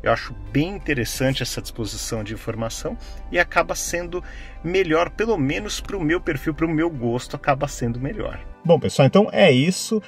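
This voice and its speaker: A man's voice: on the high side, expressive, brisk, soft background music. A middle-aged man with a Brazilian accent giving a casual, conversational hands-on demonstration, checking in with frequent tag questions. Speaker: tech vlogger, host. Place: inside a parked car. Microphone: phone camera microphone, no external mic.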